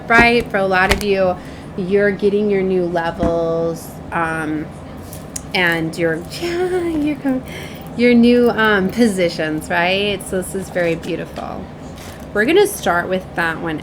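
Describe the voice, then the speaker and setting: Effusive woman card reader, noisy recording. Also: medium pitch. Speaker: tarot and oracle card reader. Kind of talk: informal spiritual card reading, partly read aloud. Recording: clearly noisy background.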